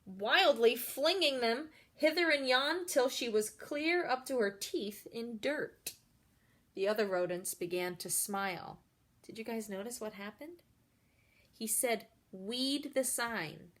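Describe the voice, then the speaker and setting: A woman's voice: a little high, measured pace, fairly bright tone. A woman reading a picture book aloud expressively, with chatty asides addressed straight to young listeners. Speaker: storyteller reading to children.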